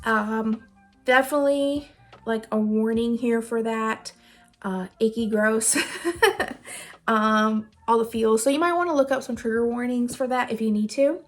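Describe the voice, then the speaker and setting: A woman with a fairly high voice chats informally as a video host making recommendations. Her delivery is giggly and rambling, with a self-deprecating touch. Soft music plays behind her. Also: steady tone, unhurried. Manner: giggly, laughs often while talking. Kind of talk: casual chatty recommendation vlog. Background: music playing under the voice.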